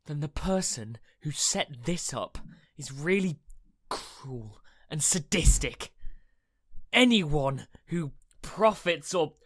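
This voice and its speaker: A woman's voice: on the low side, a bit bright. A woman in a radio play playing an earnest, emotive, idealistic conservationist. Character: Alex, earnest, idealistic conservationist, friendly but distressed by destruction.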